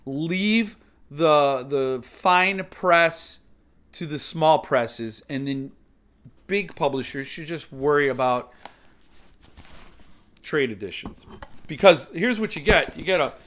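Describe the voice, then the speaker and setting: A man's voice: a little high, animated, slow pace, long pauses, fairly bright tone. A man giving casual, opinionated unboxing commentary, explaining things conversationally as the host of his own review channel.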